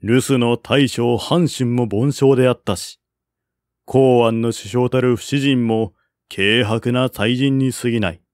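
Slow-paced male audiobook narrator. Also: medium pitch, steady tone, no echo, clean studio sound. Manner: formal, measured reading. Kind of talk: scripted literary narration of a historical novel.

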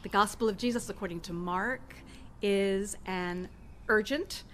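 A middle-aged woman pastor preaching a sermon in an outdoor church garden, speaking into a stand mic at a lectern with expressive pauses. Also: mid-range voice, a little hiss or hum.

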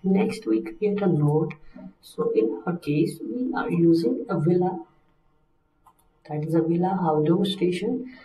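A man gives a step-by-step software tutorial in a mellow, relaxed voice. His phrasing is halting and instructional. The recording is roomy, with the microphone some distance away. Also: high-pitched. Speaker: technical instructor.

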